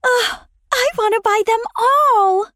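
A woman voicing an eager, girlish young palace lady in a children's story, excitable and wide-eyed. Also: extremely high pitch, takes it slowly, dry recording, studio quality, full character voice. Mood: keen. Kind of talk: children's audio story acting.

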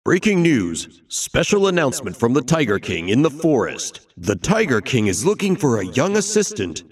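A formal cartoon announcer for the king, proclaiming in a lively, swinging voice. He sounds set back from the mic. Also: mid-range voice, moderate pace, husky, recorded from a distance. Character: royal news announcer.

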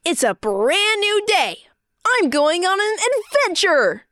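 A woman's voice: extremely high pitch, sing-song, slow, bright, forceful tone, dry recording, clean studio sound, exaggerated voice. A woman voicing a playful, childlike balloon boy who loves adventure, with bubbly exclamations, in a children's audio storybook. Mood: eager, playful. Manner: exclamatory, bubbly, sighing and giggling.

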